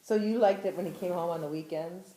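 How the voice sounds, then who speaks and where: A woman's voice: lowish voice, fairly quick, mellow. A woman interviewing an older relative in a casual way, asking curious, prompting questions. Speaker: interviewer, family member. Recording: roomy home recording with faint noise.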